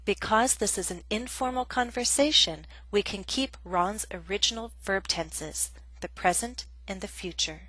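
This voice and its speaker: A woman teaching an English grammar lesson in a clear, measured, scripted way. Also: mid-range voice, bright, forceful tone, no room sound, poor audio.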